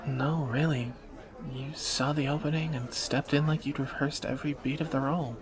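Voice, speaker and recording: A man playing a teasing, protective captor turned lover in a romantic fantasy audio roleplay, bantering and soft-spoken. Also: a little high, fairly even intonation, normal speed, a crowd in the background, natural voice, low-quality recording. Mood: fond banter.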